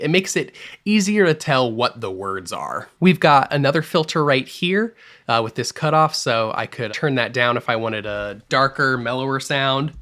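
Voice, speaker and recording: Relaxed man explaining music software. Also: mid-range voice. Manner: relaxed, explanatory, mildly opinionated. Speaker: music production tutor. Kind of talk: screen-recorded software tutorial. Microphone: voice-over over screen capture.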